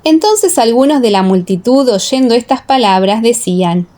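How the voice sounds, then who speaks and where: A woman reading a dramatized Bible audiobook as narrator, in a solemn, measured storytelling manner. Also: a little high, expressive, warm tone. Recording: echoing, long reverb.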